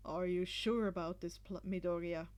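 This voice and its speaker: A woman's voice: lowish voice, steady tone, normal speed, a little mellow, pitched below the performer's own voice, natural voice. A woman voicing a cool, confident teenage schoolboy with a casual manner in an amateur story reading. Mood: doubtful. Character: cool, dry, laid-back teenage schoolboy. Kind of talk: amateur fan fiction audio reading.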